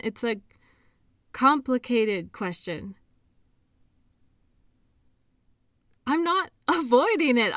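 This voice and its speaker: Woman acting as defensive female sports doctor, earnest, emotional, bright crisp tone, very dry close sound, intimate audio roleplay. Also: a little high, no echo. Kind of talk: intimate audio drama acting, one side of a dialogue.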